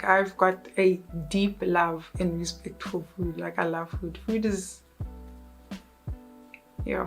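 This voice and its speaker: A chatty South African woman in her thirties talks to her viewers in a relaxed, upbeat way, often restarting and correcting herself. Her voice sits at an ordinary middle pitch, and faint music plays underneath. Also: rough recording. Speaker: YouTuber. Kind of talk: casual vlog chat.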